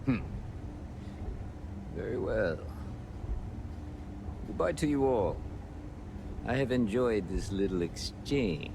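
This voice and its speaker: A genial, mercenary male space pirate for a video game. He speaks with courtly manners and big swings of pitch, over clearly audible background noise. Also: slow, pauses often. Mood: courteous, resigned. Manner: courtly, expressive.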